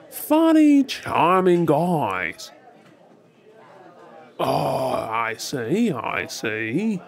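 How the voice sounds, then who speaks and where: A man's voice: medium pitch, full character voice. Man acting a swooping, tipsy Australian flirt. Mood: curious, tipsy. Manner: teasing, drawling, halting with hesitations. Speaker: audio roleplay performer. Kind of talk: ASMR audio roleplay, flirty monologue to the listener. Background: faint bar crowd chatter.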